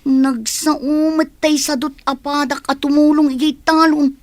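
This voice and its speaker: Woman as high-voiced, complaining student girl. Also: steady tone, a little slow, darkish tone, no room sound. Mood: grumbling. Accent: Ilocano. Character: young female student, idle and money-minded. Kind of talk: Ilocano radio comedy drama acting.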